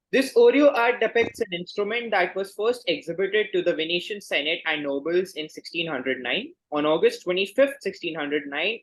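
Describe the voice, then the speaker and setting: A man hosting a quiz, reading questions and announcing results in a courteous, formal, measured way. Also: on the high side, low-quality recording.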